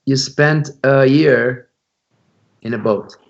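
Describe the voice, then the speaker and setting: Male host, slow and halting, gravelly low edge, muffled lo-fi sound. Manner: halting, filler-heavy questions. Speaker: interview host. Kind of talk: conversational interview.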